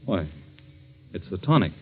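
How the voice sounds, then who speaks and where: An actor playing a curt, evasive, easily exasperated husband in a 1940s radio mystery. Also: male voice, low voice, very animated, slight background noise. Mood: brisk, casual. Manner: brisk, clipped, easily exasperated. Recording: dull vintage 1940s radio recording.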